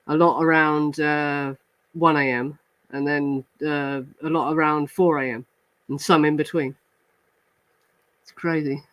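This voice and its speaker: A man's voice: a little high, flat delivery, a little slow, fairly bright tone, no room sound. A man hosting a true crime show, chatting casually with many fillers and restarts, and now and then reading report passages aloud. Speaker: true crime podcast host. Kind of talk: casual chat reacting to live comments.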